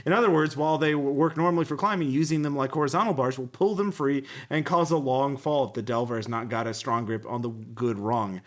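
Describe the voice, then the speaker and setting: Man, mid-pitched voice, podcast host, casual gaming talk, conversational delivery. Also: brisk, few pauses. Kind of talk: casual tabletop gaming talk, reading rulebook passages aloud.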